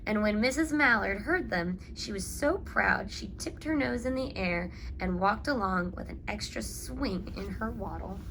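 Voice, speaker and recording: Bright-voiced woman reading to children. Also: medium pitch, measured pace, vocal fry, few pauses, slight background noise, low-quality recording. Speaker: story reader and reading teacher. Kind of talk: read-aloud of a children's picture book.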